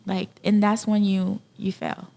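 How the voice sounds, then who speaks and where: A woman's voice: mid-range voice, little pitch movement, a little slow, vocal fry, warm tone. A woman from Haiti speaking casually and earnestly as an interview guest, repeating key phrases for emphasis. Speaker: podcast guest.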